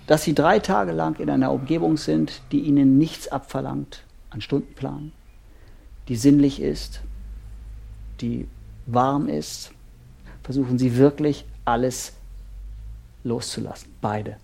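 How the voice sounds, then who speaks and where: An actor playing a probing, unflappable couples therapist with odd methods, speaking in a measured, polite way in a naturalistic radio play. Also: male voice, medium pitch, fairly even intonation, gravelly, warm tone, breathy edge, heavily acted. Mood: instructive. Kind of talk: naturalistic improvised-sounding radio play dialogue.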